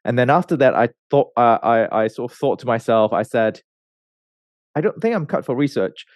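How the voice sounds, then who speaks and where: A man's voice: mid-range voice, normal speed, no room sound. Malaysian-raised man in a dry podcast interview. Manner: relaxed, many fillers and restarts. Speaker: research scientist guest. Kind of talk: conversational interview answers.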